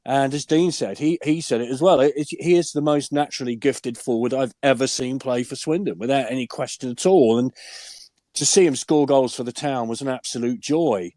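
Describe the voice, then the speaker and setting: An older man, hoarse and deep-voiced from a cold, chatting enthusiastically as a guest pundit and asking rhetorical questions. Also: brisk, dark tone, dry recording, studio quality. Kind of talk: casual podcast chat.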